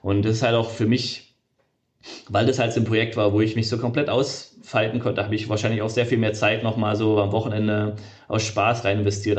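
Low-voiced man, halting and thoughtful. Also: a little mellow. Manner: hesitant, many fillers and restarts. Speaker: game developer, interview guest. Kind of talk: casual conversational interview.

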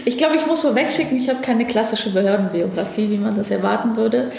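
A woman's voice: fairly high pitch, fast talker, vocal fry, a little mellow, echoey room. A woman from Afghanistan, a ministry division head, answering interview questions thoughtfully with frequent hesitations. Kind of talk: conversational interview. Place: office at a federal ministry.

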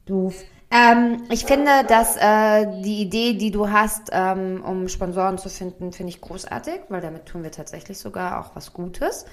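A woman's voice: medium pitch, fairly even intonation, moderate pace, few pauses. A young woman co-hosting a casual podcast, blunt and joking in her chat. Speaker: podcast co-host.

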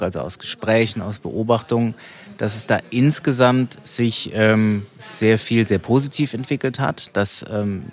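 Male professor type, lowish voice, careful halting explanations, lots of qualifying. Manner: measured, hesitant, qualifying statements. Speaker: academic researcher, interview guest. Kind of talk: unscripted expert explanation.

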